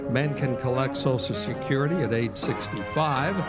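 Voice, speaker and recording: A man's voice: mid-range voice, normal speed. A man announcing in 1940s radio style, reading scripted ad copy like an emphatic salesman. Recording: live stage show, loud music behind. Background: loud music.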